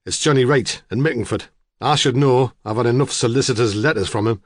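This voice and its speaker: A man's voice: mid-range voice, a little fast, no room sound, studio quality, close to an everyday voice. A man voicing a squat, blunt older farmer who talks brusquely and impatiently. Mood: gruff.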